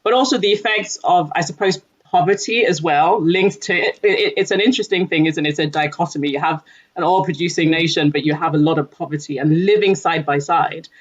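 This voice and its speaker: A woman's voice: deep voice, brisk, breathy edge. A woman from Nigeria talking earnestly about her background and career in a podcast conversation, with frequent fillers. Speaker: environmental lead at a company. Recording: dull lo-fi audio.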